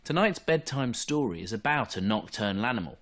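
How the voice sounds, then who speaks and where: A man reading a bedtime story to young children in an expressive storytelling style. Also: medium pitch, a little fast.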